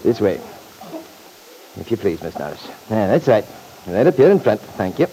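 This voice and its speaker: Courteous lawyer, coaxing, folksy, mellow tone, animated pitch, old radio drama, slightly noisy recording. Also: male voice, moderate pace. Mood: courteous, reassuring. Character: patient, courteous male lawyer questioning a nervous witness.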